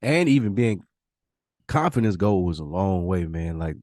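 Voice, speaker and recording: Deep-voiced American man hosting casually. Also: very animated, measured pace, darkish tone, dry recording, studio quality. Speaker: podcast host. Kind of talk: casual, reflective talk.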